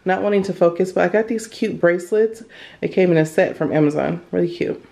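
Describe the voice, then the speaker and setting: A woman's voice: on the low side, brisk, gravelly, dark tone, no room sound. A woman in her thirties vlogging casually, talking directly and familiarly to her viewers. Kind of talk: casual chatty vlog. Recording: music behind the voice. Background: background music.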